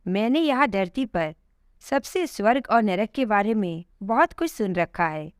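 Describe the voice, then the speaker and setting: A woman's voice: mid-range voice, animated, measured pace, no echo, clean studio sound, natural voice. A woman voicing a kind, curious, pious elderly woman in a polite, wondering storybook manner. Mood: grateful request.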